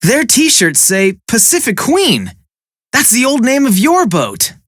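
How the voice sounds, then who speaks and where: A woman's voice: fairly high pitch, wildly swooping pitch, slow, no room sound, studio quality, pitched above the performer's own voice, exaggerated voice. A woman voicing a level-headed, observant young boy in a storybook, with an earnest, explanatory way of talking. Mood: earnest, urgent.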